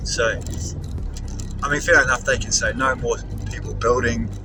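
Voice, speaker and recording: A man's voice: mid-range voice, fairly even intonation, moderate pace, distant mic, noisy background. A man from New Zealand with a Kiwi accent, rambling bluntly and swearing freely as he chats to camera while driving his car. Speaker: vlogger. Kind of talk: rambling driving vlog chat. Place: inside a moving car.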